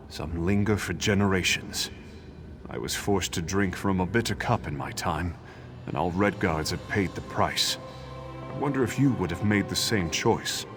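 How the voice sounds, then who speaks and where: Deep-voiced, burdened warrior spirit. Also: male voice. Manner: grave, weighty, formal. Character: burdened male spirit of a legendary warrior hero. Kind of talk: fantasy video game acting.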